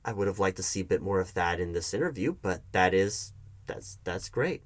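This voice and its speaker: A man playing a defensive, wounded hiring manager arguing with his ex in a conversational audio roleplay, halting and often throwing questions back. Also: deep voice, animated. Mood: subdued, formal. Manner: defensive, halting, repeats the other's accusations as questions. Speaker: roleplay performer. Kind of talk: one-sided audio roleplay, conversational acting.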